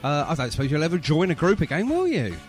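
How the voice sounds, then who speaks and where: A man's voice: fairly high pitch, wildly swooping pitch, fast. A man hosting a casual, chatty radio interview, effusive with praise and full of jokey banter. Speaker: radio host.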